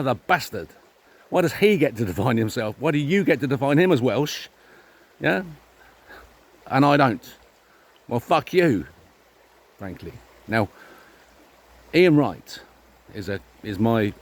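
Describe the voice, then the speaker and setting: A man with an English accent delivering a combative opinion rant to camera outdoors, firing off rhetorical questions and swearing. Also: medium pitch. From England.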